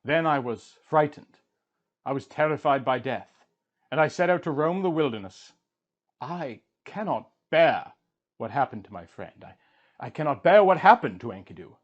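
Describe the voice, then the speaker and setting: A man's voice: fairly high pitch, animated, normal speed. An anguished wandering hero-king, performed by a male reader, mourns in grand, emphatic storybook style. His weariness and grief shape the declamation, heard through a slightly muffled, lo-fi recording. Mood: anguished, frightened. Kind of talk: dramatic audiobook reading of an ancient epic.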